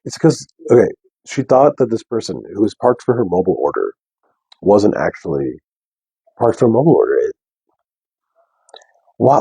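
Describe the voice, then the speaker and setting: A young man with a deep bass voice, talking softly and casually to viewers on a live stream. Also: slightly rough, no echo, rough recording. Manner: soft, unprojected speech. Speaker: livestream host. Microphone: wearing a mic.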